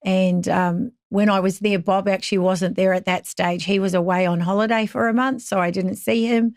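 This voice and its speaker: A woman in her fifties with a New Zealand accent, chatting as a podcast guest and telling stories with lively energy. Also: medium pitch, moderate pace, dry recording, studio quality. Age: 50s. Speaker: interview guest. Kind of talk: casual chat.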